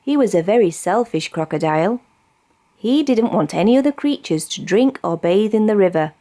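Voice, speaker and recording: A woman with a British accent narrating a children's storybook expressively, with deliberate phrasing. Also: mid-range voice, lively intonation, warm tone. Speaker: storybook reader.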